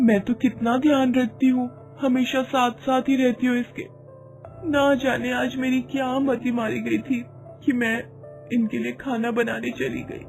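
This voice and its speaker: A man voicing a two-faced scheming aunt in an animated moral story, wailing melodramatically with false concern. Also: extremely high pitch, measured pace, creaky, faint music behind, pitched above the performer's own voice, heavily acted. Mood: fake grief.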